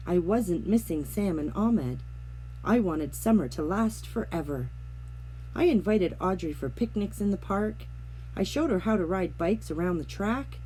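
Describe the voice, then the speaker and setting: A woman reading a children's picture book aloud, narrating with gentle, expressive storytelling. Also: lowish voice, slight background noise, rough recording. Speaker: storybook reader.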